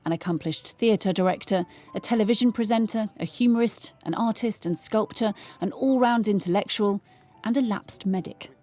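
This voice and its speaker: A British woman voices a scripted television news obituary. Her tone is mellow and relaxed, at a middling pitch, with a measured correspondent's delivery. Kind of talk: scripted obituary report narration.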